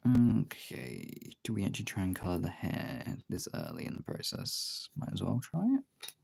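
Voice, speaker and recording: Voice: low-pitched, wildly swooping pitch, moderate pace, gravelly, darkish tone, breathy edge, dry recording. A livestream host with a congested, tired voice, chatting casually and informally to viewers while drawing, rambling and swearing now and then.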